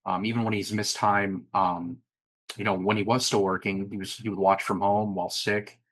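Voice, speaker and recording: A young man co-hosting a sports podcast, chatting in a relaxed way with lots of fillers. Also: on the low side, normal speed, dry recording, rough recording. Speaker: podcast co-host. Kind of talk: casual sports chat.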